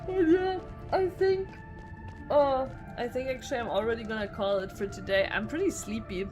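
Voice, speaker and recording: A woman chatting casually as she streams a game, informal and reactive, thinking out loud. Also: fairly high pitch, normal speed. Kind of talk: casual live gameplay chat. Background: game music.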